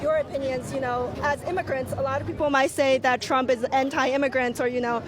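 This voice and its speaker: A woman journalist from Shanghai asks friendly, curious questions in a street interview. Her voice is high and light. A loud crowd and passing traffic fill the background. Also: fairly even intonation, a little fast. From Shanghai, China.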